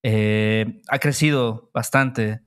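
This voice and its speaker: A man's voice: lowish voice, steady tone, drawn-out words, smooth. A man hosting a podcast, asking questions in a friendly, conversational way. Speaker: podcast host. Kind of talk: casual interview questions.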